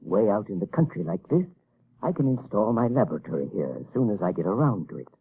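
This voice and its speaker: A sinister mocking husband. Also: male voice, fairly low pitch, sing-song, fast talker, husky, no echo, exaggerated voice. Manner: silky, taunting, theatrical swoops. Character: sinister scheming husband, middle-aged man, mocking. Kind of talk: 1930s radio drama acting. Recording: tinny old radio broadcast, hissy.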